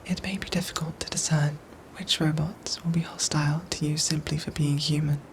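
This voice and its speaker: A woman playing a protective android soldier in a whispered ASMR roleplay, speaking in soft, breathy, formal and precise phrases. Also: low-pitched, fairly even intonation, moderate pace, a little hiss or hum. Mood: grave, gentle.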